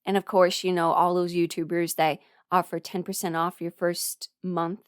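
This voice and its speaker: Young adult woman, lowish pitch, dry close recording, frank confiding ramble, halting phrasing. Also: level tone, clean tone, no room sound. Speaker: voice performer sharing a personal ramble. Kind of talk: candid personal ramble.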